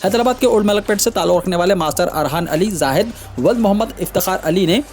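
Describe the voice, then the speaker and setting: A man's voice: high voice, quick pace, a little mellow, soft background music. A man reading scripted news in a formal manner, delivering headlines and stories as a news reader.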